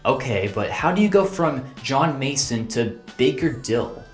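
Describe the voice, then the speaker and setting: A man's voice: medium pitch, sing-song, measured pace, faint music behind. A man giving scripted, humorous film review commentary in a sarcastic, incredulous way. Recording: roomy, echoey voice-over.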